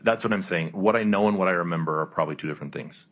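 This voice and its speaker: A male investigator testifying in a courtroom, answering in a halting, careful, hedging way. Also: sits low, a bit bright. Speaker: police investigator testifying as witness.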